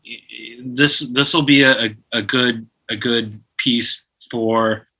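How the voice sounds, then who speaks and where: A man in his forties tells his story in a podcast interview, his tone very bright and forward. He talks in an animated way, restarting his sentences, and imitates phone beeps. His pitch sits in the middle range. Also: slow pace, breathy edge, rough recording. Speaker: guest musician.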